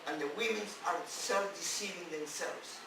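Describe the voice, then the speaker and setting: A man who is a non-native English speaker, a rabbi giving an emphatic sermon in halting phrases with repeats and rhetorical questions. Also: high voice. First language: not English. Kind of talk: sermon on scripture. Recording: distant, very echoey, noisy room recording.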